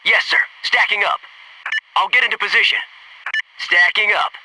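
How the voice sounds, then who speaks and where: An actor playing a folksy, dutiful Navy SEAL commando, giving short, clipped military callouts in a video game. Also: male voice, sits high, normal speed, recorded from a distance, noisy background, close to an everyday voice.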